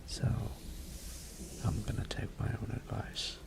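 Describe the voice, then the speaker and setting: A man playing a sweet, lovestruck young man in a romantic audio roleplay, speaking tenderly and hesitantly in a breathy half-whisper. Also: a little slow, background noise, close to an everyday voice. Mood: tender, nervous.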